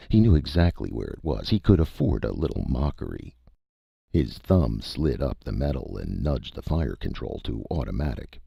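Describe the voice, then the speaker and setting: Very deep, gravelly male audiobook narrator. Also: no room sound, dull audio. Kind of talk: scripted dramatic story narration.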